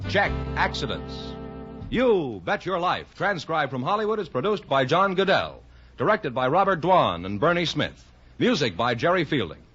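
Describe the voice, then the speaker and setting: A man with an American accent reading scripted sponsor announcements on 1950s radio, in a polished salesman's delivery. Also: on the high side. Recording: dull vintage radio broadcast, some music.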